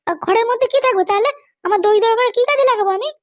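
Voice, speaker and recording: A man voicing a bossy, short-tempered middle-aged cartoon wife with a West Bengal accent and a pitched-up voice. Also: racing, dry recording, studio quality. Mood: scolding. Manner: scolding, threatening. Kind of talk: comic cartoon dialogue.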